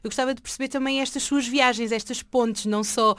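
Woman interviewer, somewhat high voice, curious and friendly; dry close sound. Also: steady tone, a little fast, a bit bright, no echo. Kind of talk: conversational interview.

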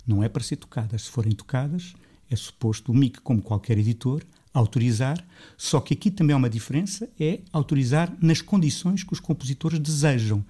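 A middle-aged Lisbon man with a mellow, dark voice speaks Portuguese with a Lisbon accent as a guest on a radio interview. He is thoughtful and restarts his sentences often, and his pitch climbs as he gets animated. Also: fairly quick, slightly rough. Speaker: composer guest.

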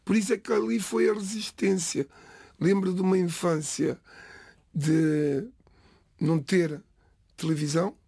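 A middle-aged man from the Lisbon area whose first language is Cape Verdean Creole, giving a halting, reflective personal account in an interview. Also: sits high, fairly even intonation, gravelly, mellow, husky, no echo, studio quality. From Portugal, Lisbon area. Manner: halting, restarts phrases, pauses between words.

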